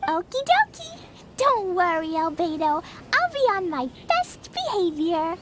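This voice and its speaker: A woman voicing a tiny, excitable fairy girl companion in a video game, with a squeaky, childlike voice. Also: a little slow, background noise, heavily acted. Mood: excited. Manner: chirpy and excitable. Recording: game audio with loud score.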